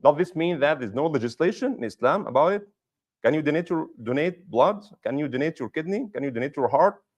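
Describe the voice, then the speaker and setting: Lively-voiced man lecturing as imam. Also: fairly high pitch, brisk, highs cut off. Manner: explains patiently with rhetorical questions; emphatic, repeats key points. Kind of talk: lecture with audience questions.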